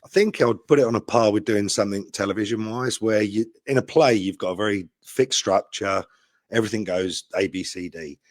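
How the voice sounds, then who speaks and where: Deep-voiced man, thoughtful and explanatory. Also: fairly quick, dry recording, studio quality. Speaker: actor with a theatre background. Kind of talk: casual interview answers.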